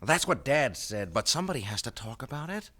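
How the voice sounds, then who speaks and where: A man's voice: mid-range voice, sing-song, fairly quick, pushed and bright. A man playing an earnest, confrontational young adult son in a 1970s radio drama, insistent and emotional. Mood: pleading, insistent. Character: earnest, confrontational grown son of wealthy businessman.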